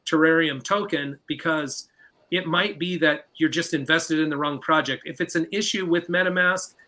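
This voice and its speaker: A man's voice: a little high, normal speed, bright, forceful tone. A man hosting a live stream, giving casual advice with some filler words. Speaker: host.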